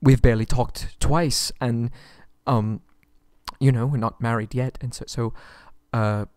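A man's voice: mid-range voice, fairly even intonation, a little slow, darkish tone, no room sound, studio quality, close to an everyday voice. A man playing a nervous, submissive, polite young prince held captive, stammering and pleading in an ASMR roleplay audio drama. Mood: panicked, pleading.

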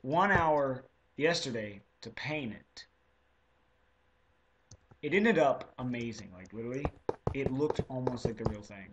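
Hoarse teen gamer, chatty and rambling, mid-pitched, recorded with audible noise. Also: male voice, animated, unhurried, pauses often, dull audio. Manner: rambling, chatty, self-interrupting. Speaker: video creator. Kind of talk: casual gameplay commentary. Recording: noisy home recording.

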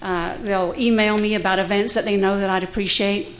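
A woman's voice: medium pitch, fairly even intonation, a little fast, poor audio. A middle-aged woman, speaking candidly and with light humor as a panelist at a university conference, at a podium microphone in a lecture room. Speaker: conference panelist, cohousing resident. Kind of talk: prepared talk at a conference.

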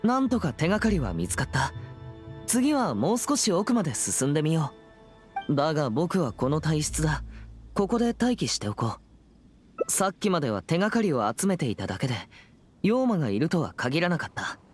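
A man playing an earnest, serious teenage boy exorcist in a Japanese video game, speaking in a formal, measured manner. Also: fairly high pitch, sing-song, faint music behind. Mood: serious, cautious. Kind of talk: scripted Japanese game voice acting.

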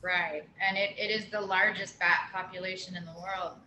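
A woman's voice: on the low side, steady tone, pushed and bright, reverberant, slight background noise, rough recording. A woman moderating an online talk, asking the guest speaker questions and giving brief acknowledgments on a video call. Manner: slow, inquisitive, brief acknowledgments.

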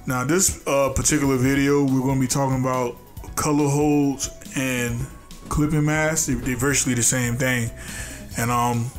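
A congested man with a nasal voice hosts a casual drawing tutorial, talking loosely with restarts and fillers. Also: mid-range voice. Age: adult, a father. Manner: loose and repetitive, restarting phrases, many fillers. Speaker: art tutorial host.

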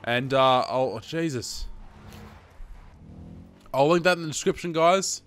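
A man's voice: fairly high pitch, slow pace, fairly bright tone, faint music behind. A man in his twenties with an Australian accent gives casual, chatty, sweary gameplay commentary into a headset, a little sniffly from hay fever. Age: 20s. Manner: sweary, chatty, addressing viewers as guys. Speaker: gaming video host.

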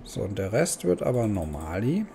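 A man's voice: lowish voice, very animated, moderate pace, creaky, noisy background. A man giving casual let's play commentary, thinking aloud and muttering questions to himself. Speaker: gaming host.

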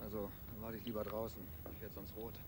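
Actor as flippant, fast-talking young student. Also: male voice, fairly low pitch, completely flat delivery, lightly acted. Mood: joking. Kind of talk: German radio crime drama acting. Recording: clearly noisy outdoor radio scene.

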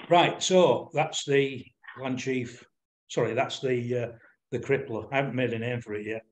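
An older man with a Yorkshire accent talks through a hands-on demonstration on a video call. He explains informally, with some hesitation, in a mid-pitched voice. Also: moderate pace, slight background noise. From Yorkshire, England. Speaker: fly-tying demonstrator. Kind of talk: informal demonstration with questions and answers.

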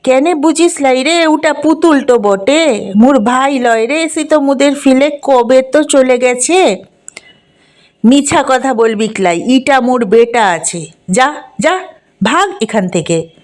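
A woman's voice: sits high, animated, slow, darkish tone. Woman playing a pleading little village girl. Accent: rural western Bengal dialect. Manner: pleading, earnest.

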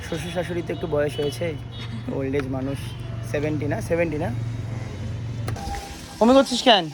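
A man's voice: on the high side, animated, brisk. A man chatting casually as a family vlogger. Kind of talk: casual family vlog chat. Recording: loud music, traffic and vehicle noise.

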